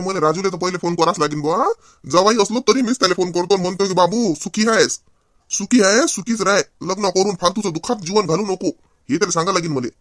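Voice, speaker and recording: A man voicing a henpecked, sarcastic husband in a comedy cartoon, with a Vidarbha Marathi accent and drawn-out, mock-lamenting emphasis. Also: a little high, a bit bright, no room sound, clean studio sound, close to an everyday voice. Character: henpecked, grumbling husband and son-in-law. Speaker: comic cartoon character.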